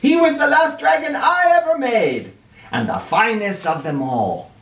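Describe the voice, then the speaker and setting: A man's voice: extremely high pitch, expressive, measured pace, a bit bright, pitched above the performer's own voice, exaggerated voice. A man as a kindly, lilting old woodcarver. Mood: amused, proud. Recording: roomy, distant microphone.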